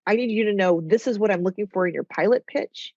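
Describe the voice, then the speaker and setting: A woman's voice: medium pitch, fast talker, mellow, dry recording, dull audio. A middle-aged woman, a TV writer and former executive, talking as a podcast guest in a nasal, rambling, self-interrupting way. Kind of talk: casual conversational interview.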